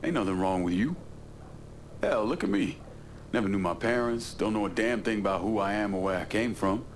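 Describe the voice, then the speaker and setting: An actor playing a tough young man with a relaxed, plain-spoken, streetwise manner in a video game cutscene. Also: fairly low pitch, brisk, slight background noise, close to an everyday voice. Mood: reassuring. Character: laid-back, tough young male outsider, loyal friend.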